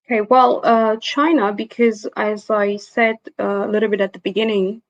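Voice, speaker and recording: A woman's voice: medium pitch, steady tone, moderate pace, no echo, muffled recording. Woman speaking in a dry close voice. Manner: thoughtful, laughs easily, with restarts. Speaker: guest. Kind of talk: interview answers.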